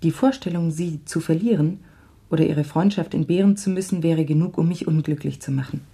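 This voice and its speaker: An actress playing a frank, tender lady of old France in a radio play, speaking in a measured, heartfelt way. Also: sits low, warm tone, breathy edge.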